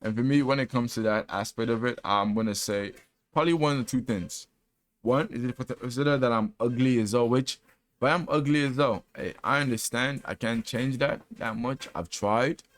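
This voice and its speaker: A man's voice: medium pitch, moderate pace. A man in his twenties candidly talking through a personal reflection vlog, self-deprecating and rambling, with casual slang and frequent restarts. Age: 20s. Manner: casual slang, says "bro" often. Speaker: vlogger.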